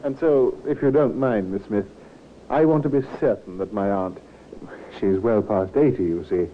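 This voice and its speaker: An actor playing a courteous, solicitous nephew in an old radio drama. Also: male voice, fairly low pitch, normal speed, close to an everyday voice. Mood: earnest politeness. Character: courteous, solicitous nephew arranging family care. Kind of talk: 1950s radio acting. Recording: tinny old radio with faint noise.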